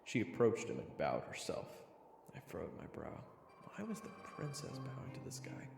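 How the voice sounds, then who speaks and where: A man's voice: lowish voice, wildly swooping pitch, normal speed, gravelly, heavy background noise, heavily acted. A man playing a brash, sarcastic human hero who narrates his fantasy adventure in an informal, wry way. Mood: indignant, scornful. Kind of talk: first-person story narration. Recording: echoey reverberant sound, music behind.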